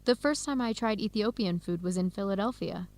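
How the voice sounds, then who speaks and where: A woman's voice: mid-range voice, fairly quick, darkish tone, natural voice. A woman playing a friendly young Ethiopian American grad student with an American accent in a radio drama, speaking in a conversational way. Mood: open, easygoing. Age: young adult. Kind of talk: educational radio drama acting.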